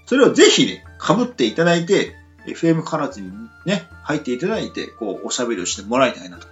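Animated man hosting casual radio. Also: medium pitch, fast. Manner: animated, teasing, frequent backchannels. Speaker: radio host. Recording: muffled lo-fi audio with music underneath.

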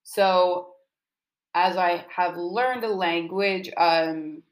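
A young woman with a fairly low voice talks as a student being interviewed about their own writing. The delivery is casual and earnest, explaining things informally with plenty of "like" along the way. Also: drawn-out words, poor audio.

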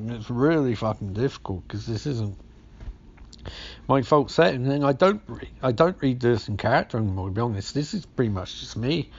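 A podcast host with a hoarse, gravelly voice rambles through a movie recap, swearing freely. His pitch sits mid-range for a man. The recording is dull and lo-fi. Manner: sweary, rambling, acts out scenes. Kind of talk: casual profane movie recap.

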